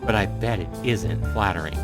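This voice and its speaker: A man's voice: low-pitched, moderate pace, natural voice. A man voicing an irritable, haughty young Italian schoolgirl in a visual novel, curt and scornful. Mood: irritated, dismissive. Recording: music playing behind the voice.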